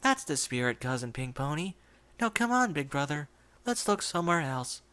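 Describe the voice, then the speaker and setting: A man voicing a sweet, eerily innocent little filly, in a soft childlike voice. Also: on the high side, sing-song, moderate pace, clean tone. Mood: cheerful.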